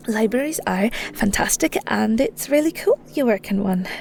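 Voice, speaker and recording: A shy young Scottish farm lass, acted by a woman, sweet and easily flustered. Her voice sits on the high side and she takes her time, stumbling over words in a close, intimate audio roleplay. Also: lively intonation, unhurried, gravelly, darkish tone, slight background noise. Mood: flustered, smitten. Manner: bashful, stammering, rambling, giggly.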